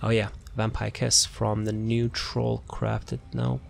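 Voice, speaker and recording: Low, slightly gravelly man talking through a game build. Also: completely flat delivery, takes it slowly, slight background noise. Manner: rambling, repeats words and phrases while searching. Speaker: video game build guide creator. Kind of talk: casual, unscripted game build walkthrough commentary. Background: background music.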